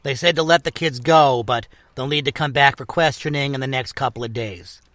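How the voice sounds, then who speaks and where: An actor playing a brusque, prejudiced senior police officer in an audio drama, gruff and blunt. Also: male voice, medium pitch, a little fast, fairly bright tone, dry recording, lightly acted. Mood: businesslike.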